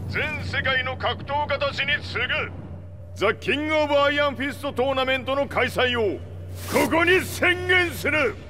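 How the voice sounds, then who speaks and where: A man's voice: extremely high pitch, expressive, fast talker. An actor playing a proud, domineering old male warlord who speaks in archaic, commanding Japanese, in a video game cutscene. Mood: commanding, triumphant. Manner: archaic, imperious old-man speech, commanding. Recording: noisy game scene ambience.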